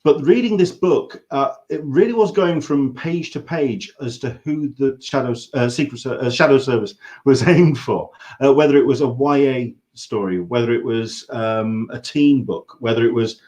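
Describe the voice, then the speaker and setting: A man's voice: medium pitch, normal speed, few pauses, darkish tone. An English man hosting a casual interview, circling hesitantly around his questions. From England. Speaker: interview host.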